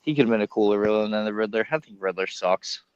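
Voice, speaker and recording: A man's voice: on the low side, fast talker, clean tone, no echo. A man chatting casually with friends in a slangy, laid-back way, on a slightly lo-fi microphone. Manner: slangy, laid-back, says bro often. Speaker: podcast panelist. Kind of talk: casual film discussion with friends.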